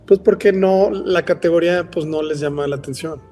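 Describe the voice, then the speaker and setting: A man's voice: on the high side, moderate pace, mellow, soft background music. A man with a Mexican accent, a company founder speaking as a guest in a casual interview, rambling with lots of filler words. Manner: rambling, frequent "este" fillers.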